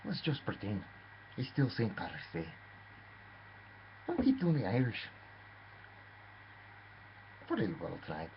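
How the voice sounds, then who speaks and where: A man's voice: on the low side, wildly swooping pitch, measured pace, long pauses. A man telling a rambling, theatrical story, addressing the listener as "lad". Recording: dull lo-fi with faint noise.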